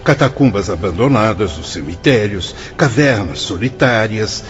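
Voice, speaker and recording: An actor playing a grave, fervent priestly spirit guide in a radio drama, explaining in a solemn, declamatory way. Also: male voice, lowish voice, lively intonation, normal speed, close to an everyday voice. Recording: dull band-limited audio under music. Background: clear music.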